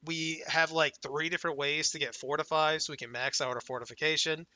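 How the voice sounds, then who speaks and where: A young man casually talking through his game character, laid-back and excited, his voice worn and going hoarse from a cold. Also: on the high side, a little fast, no echo, lo-fi sound. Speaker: gaming channel host. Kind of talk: casual gameplay commentary.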